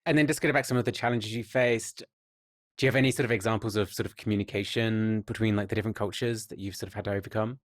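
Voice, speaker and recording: Male podcast interviewer, quick delivery, slightly low voice, informal and tentative in how questions are put. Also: steady tone, no room sound, clean studio sound. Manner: loosely phrased, hedging questions. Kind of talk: casual technical interview questions.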